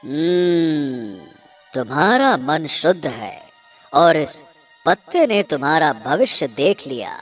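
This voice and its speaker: A man voicing a solemn ancient tree spirit in a cartoon, with grave, measured pronouncements. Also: a little high, big pitch swings, faint music behind. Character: solemn ancient magic red tree spirit granting a sword.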